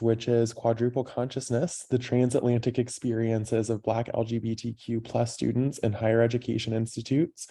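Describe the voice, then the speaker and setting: A man's voice: medium pitch, warm tone. A man chairing an online conference session, giving speaker instructions and introductions in a matter-of-fact way with fillers. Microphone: video call.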